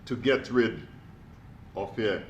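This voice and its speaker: A man giving a motivational talk to a live audience, energetic, asking them questions and calling out to them. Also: on the low side, takes it slowly, echoey room, slight background noise. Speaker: motivational speaker. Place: echoey room with an audience.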